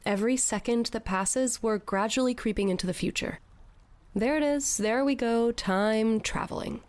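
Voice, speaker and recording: A woman's voice: on the high side, moderate pace, darkish tone, close to an everyday voice. Sardonic, lovelorn woman played by an actress. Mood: skeptical. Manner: sarcastic, dramatic. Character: sardonic lovelorn woman aboard a tall ship. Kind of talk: audio drama acting.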